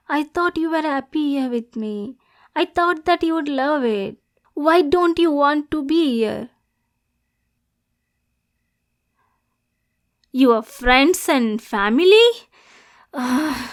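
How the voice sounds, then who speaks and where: A woman playing an obsessive, lovesick demon girl in an ASMR roleplay, clingy and possessive, addressing the listener directly. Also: sits high. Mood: hurt, confused. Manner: breathy, clingy, swinging between tender and domineering.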